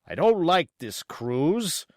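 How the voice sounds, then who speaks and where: A stern, plain-spoken sea captain, as acted by a male audiobook reader. He bites off formal, emphatic phrases at a slow pace. His pitch leaps about stubbornly. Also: big pitch swings, darkish tone, no echo, clean studio sound, exaggerated voice. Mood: blunt, dissatisfied. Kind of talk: audiobook character acting.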